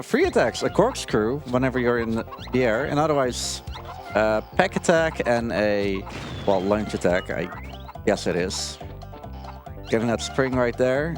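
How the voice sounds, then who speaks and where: A man giving casual, rambling live commentary as a speedrunner, halting at times while he plays. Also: medium pitch. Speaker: speedrunner commentating own run.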